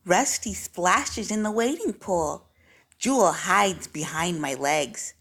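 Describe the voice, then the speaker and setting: A woman reading a children's story aloud in an expressive, clearly phrased way. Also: fairly low pitch, slow pace. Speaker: storybook reader.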